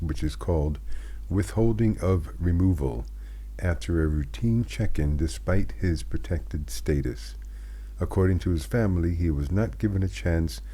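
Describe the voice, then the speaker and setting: A middle-aged American man hosting scripted political commentary, speaking in an earnest, emphatic way with deliberately set-apart phrases. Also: deep voice, dark tone, slightly rough. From United States. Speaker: host of a commentary channel.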